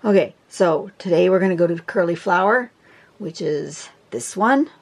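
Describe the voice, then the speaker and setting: A woman with a hoarse voice from a cold and laryngitis, talking casually through a drawing tutorial, chatty and rambling with fillers and throat clearing. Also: on the low side, expressive, moderate pace. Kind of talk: casual instructional talk. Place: indoors at a table, filming a phone screen.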